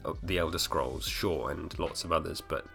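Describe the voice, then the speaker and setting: Male solo podcast host, 30s, very low voice, a bit hoarse, loose rambling delivery, faint music underneath. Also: normal speed, fairly bright tone. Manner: rambling, full of fillers and restarts. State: slightly hoarse. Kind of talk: casual solo podcast talk.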